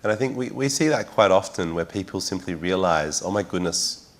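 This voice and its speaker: A man's voice: sits low, animated, fairly quick. A man speaking on a discussion panel, thoughtful, often repeating words as he builds a point.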